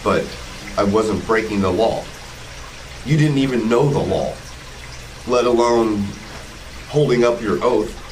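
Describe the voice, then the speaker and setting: A man's voice: fairly low pitch, slow pace, pauses often, slight background noise, low-quality recording. An American man in his forties narrating footage casually, in a confrontational, sarcastic manner with plenty of swearing. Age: 40s. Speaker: video blogger, police auditor.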